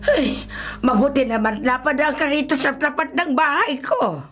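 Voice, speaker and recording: High-voiced, foreboding old village healer. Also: female voice, animated, normal speed. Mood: urgent. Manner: urgent, dramatic, foreboding. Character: anxious older female village folk healer giving warnings. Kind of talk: Filipino radio drama acting. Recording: dramatic music under the voice.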